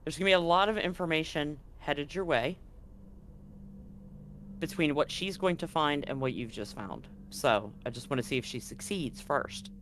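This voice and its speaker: A man's voice: on the high side, normal speed, a bit bright, slight background noise. A man acting as game master, narrating a tabletop roleplaying game and reading handouts aloud.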